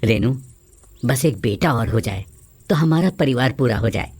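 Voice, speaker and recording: A woman's voice: a bass voice, animated, moderate pace, darkish tone, voice pushed down. A woman voicing a selfish, callous husband in a cartoon story, with a blunt manner. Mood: pleased, wishful. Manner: blunt, scolding.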